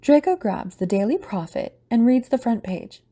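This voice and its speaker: Mellow, very expressive woman narrator. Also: fairly high pitch, moderate pace. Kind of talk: scripted audio drama narration.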